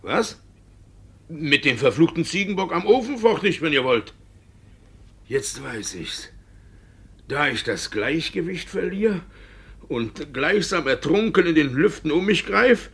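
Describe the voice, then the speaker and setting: A shifty old country judge in classical 1950s radio drama style. The voice is husky and creaky, swinging widely in pitch as he grumbles and exclaims. He comes across as clumsy and evasive, halting as he goes. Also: very animated, full character voice. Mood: rueful, grumbling. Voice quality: gravelly, husky. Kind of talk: 1950s German classical radio play acting. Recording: vintage 1950s radio recording.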